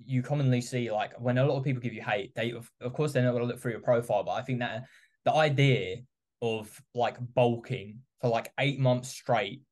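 A man's voice: medium pitch, fairly quick. A male podcast host in easygoing conversation, often humming along as he listens and reading out listener questions. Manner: relaxed, lots of listening hums. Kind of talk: casual chat.

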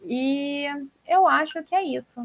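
A woman with a Brazilian accent hosting a casual, informal book podcast in an animated, slangy way. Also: sits high, takes it slowly, vocal fry, dull audio. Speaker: podcast host. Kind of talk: casual chat about books.

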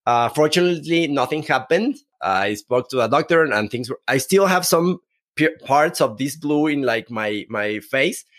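Mexican man, guest, halting and self-correcting, mid pitch. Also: animated, fairly bright tone. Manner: halting, many restarts, apologetic. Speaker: podcast guest. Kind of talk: conversational interview.

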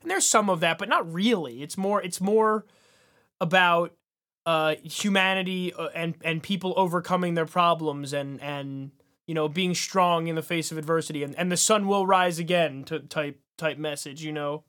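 A man's voice: fairly high pitch, normal speed, fairly bright tone. A man co-hosting a podcast, chatting and reviewing in a casual, colloquial, wry manner. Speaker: podcast co-host.

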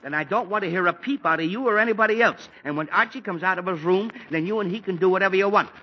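A man's voice: high-pitched, fast talker, slightly rough. An actor playing an exasperated middle-aged father with a headache, fussy and easily annoyed, in 1940s radio acting. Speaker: father of a teenage son. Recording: dull, faintly hissy 1940s radio recording.

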